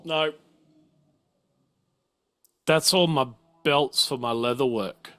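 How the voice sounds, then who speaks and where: Bright-voiced, rambling man. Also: mid-range voice, takes it slowly, soft background music. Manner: rambling, sweary, self-interrupting. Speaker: game master. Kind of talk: casual tabletop game banter.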